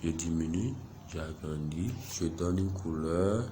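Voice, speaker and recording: A man with a stuffy head cold giving an unhurried, self-deprecating walkthrough of an app, narrating each click. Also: low voice, warm tone, slight background noise. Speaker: software tutorial presenter. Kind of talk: screen-recorded app tutorial.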